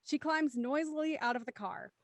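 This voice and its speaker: A woman reading scripted stage directions for an audio drama in a crisp, matter-of-fact way. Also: sits high, normal speed, no echo. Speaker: narrator.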